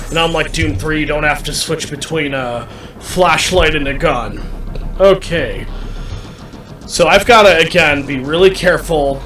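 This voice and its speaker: A roomy, distant recording of a man commentating a video game. His voice is bright and forceful, and he explains each step deliberately, circling back over his points. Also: a little high. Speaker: gamer commentating a playthrough. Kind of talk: gameplay commentary, explaining controls to viewers. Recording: roomy, distant mic, faint background noise.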